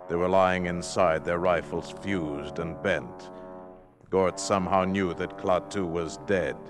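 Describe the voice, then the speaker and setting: An actor narrating a 1950s science fiction radio drama as a solemn, portentous storyteller. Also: male voice, sits low, unhurried, over music. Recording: old 1950s radio broadcast recording.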